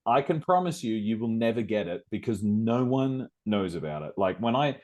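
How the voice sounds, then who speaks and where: A man's voice: lowish voice, lively intonation, normal speed, darkish tone. A man from South Australia with an Australian accent, hosting a casual interview and chatting in a rambling way with many fillers. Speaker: podcast host.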